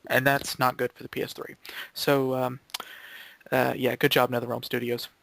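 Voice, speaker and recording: A man's voice: mid-range voice, level tone, moderate pace, vocal fry, a bit bright, no room sound. A man with a mid-range voice, recorded dry. He talks casually like one of a group of gaming friends. His delivery is jokey and tends toward the mock-dramatic. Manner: jokey, given to mock-dramatic put-on lines. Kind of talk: casual group banter about video games.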